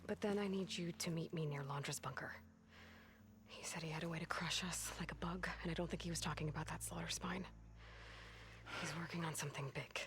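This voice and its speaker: Low-pitched, determined game heroine. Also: female voice, fairly even intonation, a little fast. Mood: quiet concern. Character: determined, wry young woman warrior heroine. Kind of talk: naturalistic video game dialogue acting.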